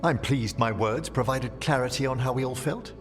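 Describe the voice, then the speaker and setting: An actor playing a genteel, philosophical scholar with a formal, measured manner in a fantasy game. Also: male voice, mid-range voice, long reverb tail, music in the background, lightly acted. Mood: solemn, courteous. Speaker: video game character actor. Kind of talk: dramatic fantasy game dialogue.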